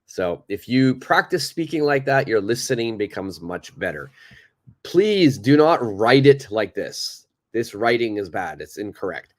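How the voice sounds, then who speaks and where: A man teaching English in a live online lesson, who speaks slowly and deliberately, repeats key words for his learners, and has a stuffed-up nose. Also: mid-range voice, very animated.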